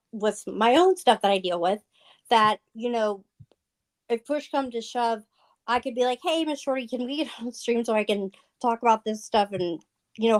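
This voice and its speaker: A woman guest in a casual livestream chat, talking in an earnest, rambling way full of fillers. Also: fairly high pitch.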